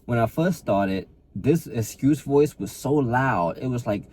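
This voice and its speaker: A man's voice: medium pitch, animated, a little slow, darkish tone. A young adult man talking casually to camera as a fitness coach, earnest and motivating, often repeating and restarting words.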